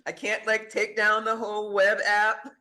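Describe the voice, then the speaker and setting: A man with a fairly high, bright voice speaks as a physician guest on a podcast. He argues his points emphatically, often firing off rhetorical questions and breaking into short laughs between them. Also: completely flat delivery, normal speed. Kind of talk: podcast discussion.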